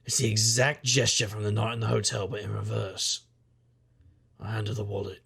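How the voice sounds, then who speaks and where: An actor playing a sardonic, world-weary private investigator, narrating and talking in a dry deadpan noir style. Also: male voice, lowish voice, moderate pace, creaky, slightly rough, exaggerated voice. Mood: dry, knowing. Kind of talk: noir audio drama, first-person narration and dialogue.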